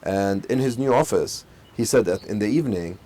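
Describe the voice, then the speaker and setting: A middle-aged man from Saudi Arabia, a company chief, telling his story in a conversational interview with many fillers and restarts. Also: fairly low pitch. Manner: fast, animated storytelling with frequent fillers and restarts. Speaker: company CEO, interview guest.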